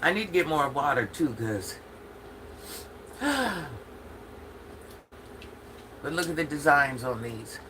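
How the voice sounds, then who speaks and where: Older woman with a deep, bright voice. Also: big pitch swings, takes it slowly, long pauses, a little hiss or hum, low-quality recording. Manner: chatty, talks straight to viewers. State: stuffy from allergies. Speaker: livestream host. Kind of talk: casual livestream chat.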